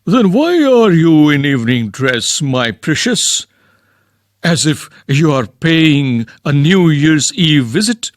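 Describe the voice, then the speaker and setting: A man's voice: a little high, very animated, slow, dry recording, clean studio sound. Man reading a gushing old landowner. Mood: impatient. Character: fussy effusive old male landowner. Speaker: reader of a play part. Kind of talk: amateur play reading.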